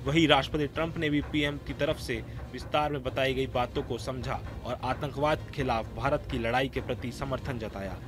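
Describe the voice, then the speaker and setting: This is a man working as a news presenter. He reads a scripted report in a mid-range voice. His delivery is formal and measured throughout. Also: medium pitch, fairly even intonation.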